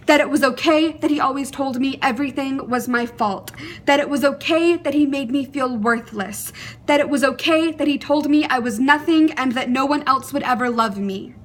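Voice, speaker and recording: A young adult woman performing a dramatic monologue in an earnest, deliberate, emotive way, with pauses. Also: high voice, slight background noise.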